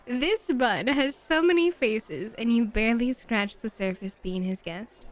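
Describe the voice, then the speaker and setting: A woman's voice: fairly high pitch, close to an everyday voice. A woman playing a flirty, dominant and playful hostess at a bunny club in an ASMR roleplay, teasing and drawing out her words coquettishly. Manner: teasing, coquettish, drawn-out purring.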